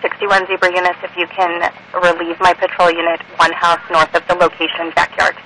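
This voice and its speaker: A man's voice: sits high, completely flat delivery, a little fast, sharp tone. A man working as a police dispatcher over the radio, repeating calls back in short, clipped, procedural phrases. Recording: noisy police radio scanner audio.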